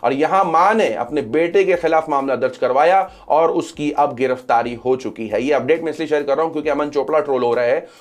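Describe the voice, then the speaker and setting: A man with a somewhat high voice delivers news commentary as a presenter. He moves through updates, restating key phrases to drive them home. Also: a little fast, few pauses. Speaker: social media news commentator. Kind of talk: news commentary sharing updates to camera or over screen.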